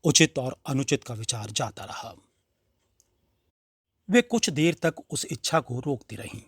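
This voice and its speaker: A man narrating a literary story in a measured, expressive, dramatic reading style. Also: mid-range voice, slightly rough, dry recording, clean studio sound.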